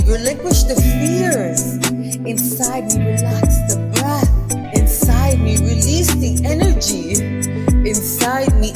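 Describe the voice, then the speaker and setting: A woman from New York reciting spoken word poetry in short measured phrases. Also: fairly high pitch. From the Bronx, New York. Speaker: poet. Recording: loud music under voice. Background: loud music.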